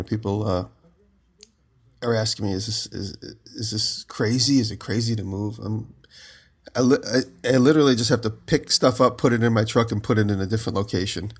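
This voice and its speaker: A man co-hosting a podcast, just out of bed with his voice lower than usual, telling long rambling stories from home over a remote call. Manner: rambling storyteller, giggly. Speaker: podcast co-host. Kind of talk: casual chat.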